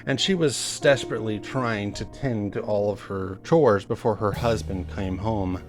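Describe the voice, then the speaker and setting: Low-voiced man telling a horror story. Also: moderate pace. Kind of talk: first-person horror story narration, conversational retelling. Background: music under the voice.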